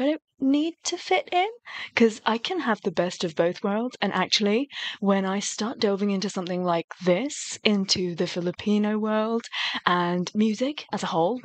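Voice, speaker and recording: A woman's voice: medium pitch, no room sound. A young woman from England with a British accent, speaking earnestly in a personal, reflective commentary as a reaction host. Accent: British English.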